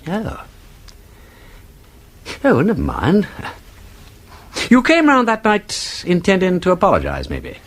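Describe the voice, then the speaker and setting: An actor playing a shrewd, suave gentleman detective in a radio mystery, urbane and drily polite as he probes. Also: high-pitched, huge pitch swings, takes it slowly, long pauses, full character voice. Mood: feigned casualness. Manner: urbane, probing, drily polite, feigning casualness.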